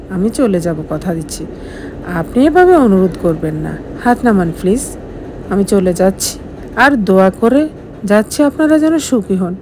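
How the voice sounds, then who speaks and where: Woman acting a heartbroken, gentle young wife. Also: a little high, a little hiss or hum, rough recording.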